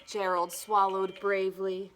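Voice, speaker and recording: A woman narrating a rhyming children's picture book in a theatrical, deliberate storytelling style. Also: mid-range voice, monotone, fairly bright tone, a little hiss or hum. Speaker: children's librarian reading aloud.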